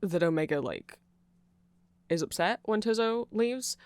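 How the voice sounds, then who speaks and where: An androgynous, medium-pitched voice recorded dry and close, explaining fan lore in a rambling ASMR style. The speaker is amused and enthusiastic, easily flustered, and often doubles back to correct a point or lets a thought trail off. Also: animated, unhurried, darkish tone, clean tone, dry recording.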